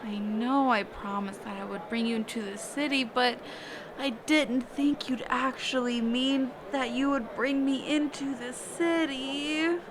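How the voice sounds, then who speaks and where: A woman voicing a reclusive, socially awkward mage teacher in a roleplay audio, speaking hesitantly and evasively with trailing pauses and hums. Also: sits high, fairly even intonation, noisy background. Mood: reluctant. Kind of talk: character roleplay audio, one side of a dialogue.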